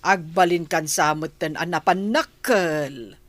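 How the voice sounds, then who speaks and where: A woman's voice: sits low, slow. A woman acting in an Ilocano radio drama as a fond, chiding old grandmother. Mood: fond, pleased. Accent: Filipino, Ilocano.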